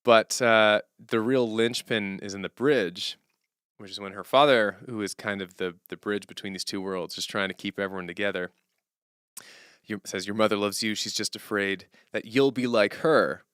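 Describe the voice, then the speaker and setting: Low-voiced man, smooth, thinking aloud. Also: moderate pace, dry recording, studio quality. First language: English as main language, German heard at home. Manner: animated, rambling, thinking aloud, self-interrupting. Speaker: streamer and songwriter. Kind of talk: casual unscripted stream talk.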